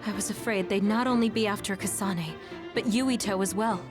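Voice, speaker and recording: A serious, composed young woman from an anime game, voiced by an actress. She sounds watchful, in a medium pitch, over faint music. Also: moderate pace, lightly acted. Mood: concerned. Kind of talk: English anime game voice acting.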